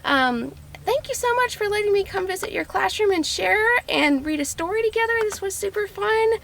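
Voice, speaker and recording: A woman's voice: extremely high pitch, animated, normal speed, vocal fry, noisy background. A woman farmer giving an upbeat show-and-tell tour for preschoolers, explaining things in simple words. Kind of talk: simple child-directed show-and-tell narration. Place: vegetable farm, in growing tunnels and outdoor beds.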